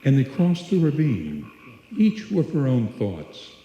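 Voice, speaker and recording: A man's voice: mid-range voice, wildly swooping pitch, takes it slowly, mellow, muffled recording, a little hiss or hum, full character voice. A man narrating an old time radio suspense tale as a solemn, dramatic storyteller. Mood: solemn, ominous. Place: live stage performance in a hall. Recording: echoey live hall, music behind.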